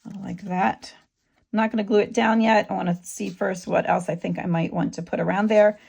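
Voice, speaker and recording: Gravelly woman with a sore throat. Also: medium pitch. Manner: relaxed, halting, with frequent ums. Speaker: craft tutorial host. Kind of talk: casual craft tutorial narration.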